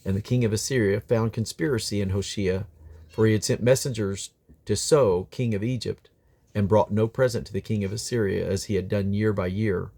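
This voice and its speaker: A man reading aloud from the Bible as a scripture reader, sometimes halting and correcting himself on difficult words. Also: on the low side, steady tone, vocal fry, dark tone. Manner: halting over difficult names, stumbles and self-corrects.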